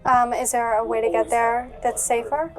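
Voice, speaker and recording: A woman's voice: fairly high pitch, level tone, normal speed. A woman playing a tabletop role-playing game, speaking casually in character as she plans with the group. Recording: background music under the voice.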